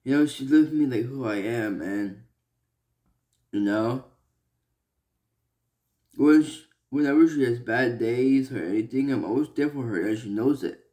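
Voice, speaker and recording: Young man from Malaysia, vlogger, earnest and halting, unhurried delivery. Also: medium pitch, warm tone. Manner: earnest, halting, many fillers and restarts. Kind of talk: personal vlog talk.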